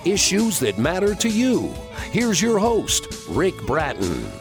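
A man's voice: sits high, wildly swooping pitch, slow pace, over music. A man announcing a radio show in a punchy style. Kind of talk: radio station imaging.

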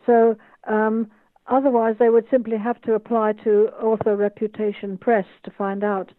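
A woman's voice: a little high, little pitch movement, normal speed, no room sound. A woman in her eighties from the United Kingdom, an author and tour guide speaking enthusiastically in an interview over a video call. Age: 80s. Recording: tinny phone-like call line.

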